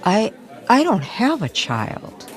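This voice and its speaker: Woman acting a shaken, sceptical reporter, animated delivery. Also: medium pitch, slow pace. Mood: stunned. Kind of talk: old-time radio drama acting. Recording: crowd chatter behind the voice.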